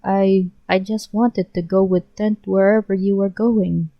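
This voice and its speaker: A woman voicing a loud, devoted, excitable teenage boy sidekick in a storybook reading, on a muffled home recording. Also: mid-range voice, a little slow, no room sound, close to an everyday voice. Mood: eager devotion. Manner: loud, whining, excitable calling out.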